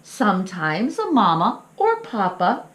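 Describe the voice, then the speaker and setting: A woman acts out a father wolf from a children's storybook. The delivery is kindly, wise and reassuring, with unhurried, expressive phrasing. The recording is roomy and echoing. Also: mid-range voice. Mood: explaining. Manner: measured, reassuring.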